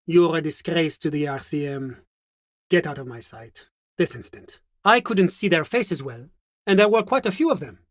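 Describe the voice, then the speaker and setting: An actor playing a polite, evasive diplomatic official in a video game, speaking in a formal, measured, officious manner. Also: male voice, a little high, expressive, no echo, studio quality. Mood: indignant. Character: polite, evasive, fastidious male diplomatic bureaucrat. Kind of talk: video game character voice acting.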